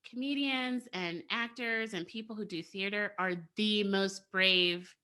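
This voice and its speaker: Quick, candid podcast guest with a bright tone. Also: mid-range voice, clear, resonant tone. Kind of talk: casual podcast conversation.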